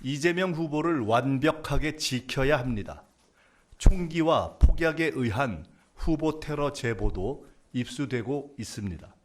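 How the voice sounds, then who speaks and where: A man's voice: mid-range voice, lively intonation, measured pace. A man delivering a formal, prepared political statement in a news clip. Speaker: party politician.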